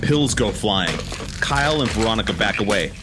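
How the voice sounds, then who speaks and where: A man's voice: on the low side, expressive, normal speed, music in the background. A man hosting a podcast, chatting casually and narrating scripts. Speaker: podcast host.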